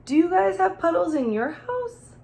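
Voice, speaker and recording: A woman's voice: extremely high pitch, big pitch swings, normal speed, vocal fry, darkish tone. A woman teacher reading a children's storybook aloud, expressively, with questions and asides addressed straight to young listeners.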